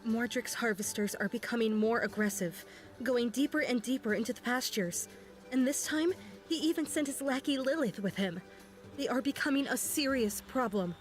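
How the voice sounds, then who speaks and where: Fantasy game fairy warrior, played by a woman: young, earnest, highish, formal and resolute, over music. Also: normal speed, lightly acted. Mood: urgent. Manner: solemn, declamatory. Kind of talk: scripted fantasy game dialogue.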